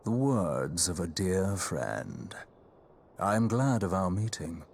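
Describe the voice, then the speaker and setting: A grave sage in a fantasy game, a man with a low voice. He speaks very slowly and solemnly, with archaic, poetic phrasing. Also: big pitch swings, gravelly, husky, heavily acted. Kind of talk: video game cutscene acting.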